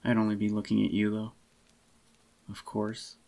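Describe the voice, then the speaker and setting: A man doing a soft-spoken, intimate ASMR roleplay as an adoring, possessive boyfriend, in a slightly groggy, unhurried murmur. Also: lowish voice, completely flat delivery, vocal fry. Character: adoring possessive young boyfriend, tender and clingy. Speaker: ASMR voice actor.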